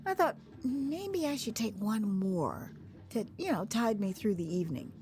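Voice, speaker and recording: Expressive American woman, lively swooping pitch, conversational first-person storytelling, acted-out moments, music underneath. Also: measured pace. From United States. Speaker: author narrating own audio memoir.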